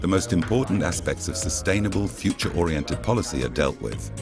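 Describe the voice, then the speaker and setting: A man with a very deep voice narrates a promotional film, reading his script in a formal, measured way. His pitch moves freely across a wide range. Clear music plays behind him. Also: big pitch swings. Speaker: documentary narrator. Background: music bed.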